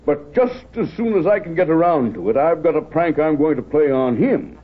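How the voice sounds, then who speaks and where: A man's voice: fairly high pitch, expressive, moderate pace. An actor playing a hearty, folksy American small-town judge and father in a 1930s radio drama. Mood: warm, relieved. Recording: muffled, husky-sounding 1930s radio recording.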